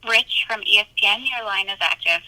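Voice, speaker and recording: A woman moderates a press call with short, formal announcements. Her voice is mid-pitched and very crisply bright. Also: brisk, poor audio.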